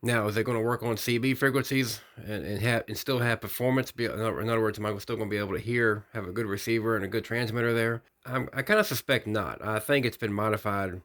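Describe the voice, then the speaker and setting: A man giving an unscripted hands-on product demonstration, rambling technically and thinking out loud as he hosts a hobby video. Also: fairly low pitch, steady tone, fast, few pauses. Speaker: radio hobbyist video host.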